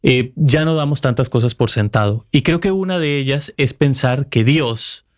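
A man's voice: mid-range voice, normal speed, a little mellow. A Costa Rican man in his thirties, a correspondent giving thoughtful, earnest answers in an interview. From Costa Rica. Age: 30s. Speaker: Vatican correspondent, guest.

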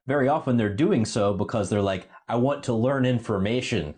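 A man's voice: medium pitch, moderate pace, darkish tone. A man co-hosting a podcast, talking in a reflective, musing way with frequent fillers and a little laughter. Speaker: podcast co-host. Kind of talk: casual discussion.

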